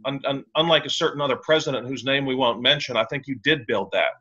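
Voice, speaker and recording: A man's voice: medium pitch, fast, pushed and bright. A man being interviewed as a tax and real estate lawyer on a podcast, talking in a blunt, opinionated, wry way. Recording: slightly dull lo-fi sound.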